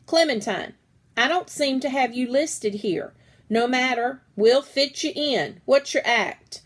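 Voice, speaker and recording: An exasperated storybook schoolteacher, played by a woman reader, loud and demanding. Her voice is pushed up high above ordinary talk. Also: takes it slowly. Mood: brisk, displeased.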